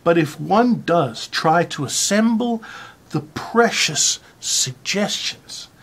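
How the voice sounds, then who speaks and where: A person giving a mock-dramatic reading with theatrical, exaggerated emphasis and dramatic pauses. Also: medium pitch, slightly rough.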